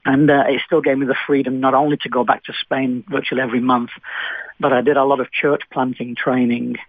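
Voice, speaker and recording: A man's voice: medium pitch, little pitch movement, brisk, dry recording. Manchester man on a tinny phone line. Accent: Manchester English. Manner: chatty, many fillers and restarts. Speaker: pastor. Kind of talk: radio interview guest.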